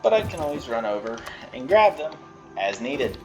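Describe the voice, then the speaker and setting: A man's voice: medium pitch, sing-song, normal speed, darkish tone, dull audio, soft background music. A man giving casual, chatty commentary while he plays a video game, laughing often. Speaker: gameplay creator.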